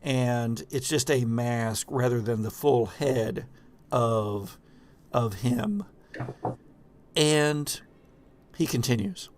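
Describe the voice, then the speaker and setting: A man's voice: mid-range voice, drawn-out words, long pauses, a little mellow, slight background noise. A man narrating a tabletop horror game as the game master.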